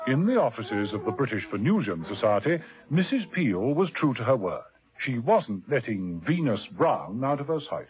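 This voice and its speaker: A man narrating a 1970s radio drama in a brisk storytelling manner, on tinny old radio tape. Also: medium pitch, big pitch swings, over music.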